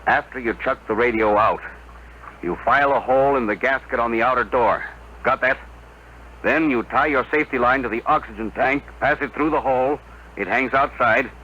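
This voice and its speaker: An actor playing an authoritative spaceship leader who gives brisk, methodical orders in a 1950s radio drama. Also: male voice, medium pitch, bright, forceful tone, natural voice. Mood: steady, commanding. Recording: very noisy old radio broadcast.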